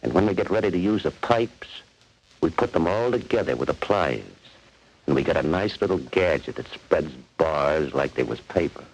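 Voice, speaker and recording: Very deep-voiced tough convict. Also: male voice, animated, moderate pace, breathy edge, full character voice. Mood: scheming, confident. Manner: slangy, ungrammatical tough-guy talk. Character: tough, bossy male convict plotting prison break. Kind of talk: 1940s radio acting. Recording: noisy old 1940s radio recording.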